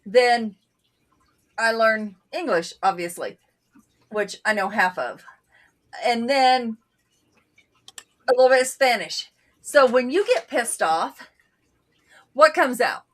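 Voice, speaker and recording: Blunt woman, native Bulgarian speaker, fairly high voice. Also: animated, takes it slowly, pauses often, a bit bright. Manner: blunt, outspoken storyteller. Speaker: co-host. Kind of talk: casual chat.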